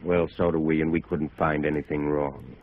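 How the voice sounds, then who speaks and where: Very deep, smug big boss. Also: male voice, flat delivery, normal speed, slight background noise. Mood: brazen, dismissive. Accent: American. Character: big, brazen, unworried male small-town builder boss. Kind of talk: 1950s American radio noir acting. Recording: narrow-band vintage radio recording.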